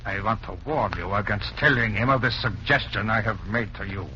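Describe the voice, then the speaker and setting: A crisp, forceful male villain from an old radio play, a Nazi agent posing as a doctor. He speaks formally and precisely, with cool menace, through a muffled, noisy vintage recording. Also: on the low side, fairly quick. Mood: smooth menace. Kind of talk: 1940s radio drama acting.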